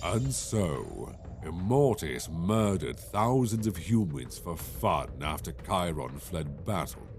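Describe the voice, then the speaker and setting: Deep slow dragon king, bossy n threatening, fantasy audio play. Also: big pitch swings, over loud music, full character voice. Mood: stern, menacing. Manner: imperious, threatening, declamatory. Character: menacing, imperious male dragon ruler. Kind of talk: fantasy audio roleplay acting.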